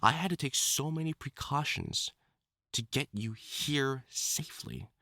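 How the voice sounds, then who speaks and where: A man's voice: medium pitch, bright, forceful tone, dry recording, studio quality. A man playing a theatrical male wolf-mutant supervillain in love, teasing and wounded, in a scripted audio roleplay spoken to the listener. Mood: self-loathing, tender. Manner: theatrical, taunting, dramatic swoops and pauses.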